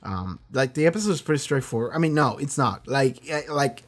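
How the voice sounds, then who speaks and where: A man talking casually and off the cuff as a reaction host, rambling in an animated way with frequent swearing and acted-out asides. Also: mid-range voice, brisk. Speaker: reaction video host and streamer. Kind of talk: casual off-the-cuff episode discussion.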